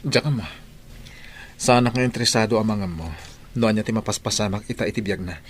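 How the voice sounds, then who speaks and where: A man's voice: on the low side, a little fast, slight background noise, natural voice. A man playing an earnest, humble, devoted husband in an Ilocano radio drama, speaking with an Ilocano accent. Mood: pensive, earnest.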